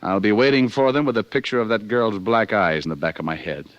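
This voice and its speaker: Man acting slick, low-voiced radio private eye. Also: brisk, no echo, lo-fi sound, lightly acted. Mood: earnest, insistent. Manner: sardonic, flirtatious banter and first-person narration. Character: slick wisecracking male private detective, smooth, cocky. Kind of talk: 1940s radio detective acting. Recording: 1940s radio broadcast with music.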